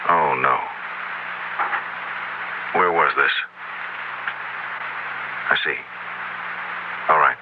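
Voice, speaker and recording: Deep-voiced skeptical professor, old radio. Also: male voice, steady tone, extremely slow, pauses often, exaggerated voice. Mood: polite, concerned. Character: skeptical male English professor, calm and rational. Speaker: actor. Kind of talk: 1970s radio drama acting. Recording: muffled, noisy old radio broadcast.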